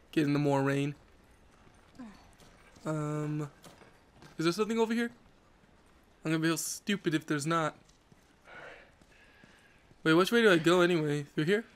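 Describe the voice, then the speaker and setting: A man's voice: a little high, a little slow, long pauses, clear, resonant tone. Congested young man rambling over gameplay. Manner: rambling, jokey, self-mocking. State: congested from allergies. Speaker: let's play host. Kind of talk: casual gameplay commentary.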